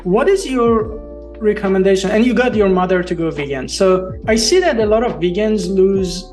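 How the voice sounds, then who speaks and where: Man, first language farsi, interview host, earnest, measured, high-pitched, faint background music. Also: darkish tone. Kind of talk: interview conversation.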